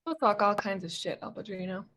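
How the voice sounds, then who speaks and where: A woman's voice: medium pitch, a little fast, a bit bright, natural voice. A woman playing a cocky, defensive young male street hustler with slangy delivery in a scripted drama table read. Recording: noisy room ambience.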